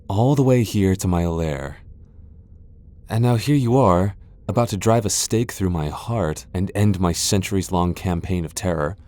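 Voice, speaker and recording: A man's voice: sits low, mellow, dry recording. A man playing a haughty, centuries-old vampire lord in a fantasy ASMR roleplay, sardonic, taunting and theatrical. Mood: scornful, taunting.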